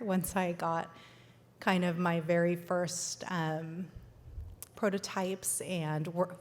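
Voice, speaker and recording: A woman who grew up in Los Angeles gives an informal, conversational keynote as a founder. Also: on the low side. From Los Angeles, born in Sudan. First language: not English, learned it at five. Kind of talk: informal keynote talk to an audience. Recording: echoey hall, long reverb.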